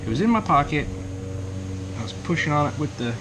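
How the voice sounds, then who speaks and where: A man's voice: a little high, big pitch swings, fairly quick. A man talking to himself as he fixes up a car for his video, muttering a casual running commentary while he works with his tools. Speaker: DIY car vlogger. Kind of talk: casual working commentary to camera. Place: working on a car, near a lawn being mowed. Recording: loud background noise around the car.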